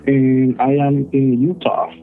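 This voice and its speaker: A man's voice: mid-range voice, level tone, over music. Man on a tinny phone line. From El Salvador. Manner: halting, many fillers. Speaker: caller. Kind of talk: telling a personal story on a call-in show.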